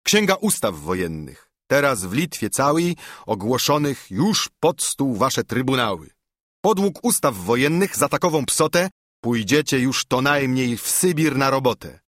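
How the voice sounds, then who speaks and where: A man's voice: mid-range voice, very animated, measured pace, fairly bright tone, dry recording, studio quality, voice pushed up. A man acting out a haughty, blustering bully army major. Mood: menacing. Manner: angry, sneering, menacing.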